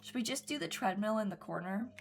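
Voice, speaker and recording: Young woman, stuffy congested voice, vocal fry, rambly streamer chat, faint music behind. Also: medium pitch, lively intonation, fast talker. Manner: rambling, thinks aloud with frequent ums and giggles. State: congested from a cold. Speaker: gaming streamer. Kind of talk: casual live-stream chat while building in a game.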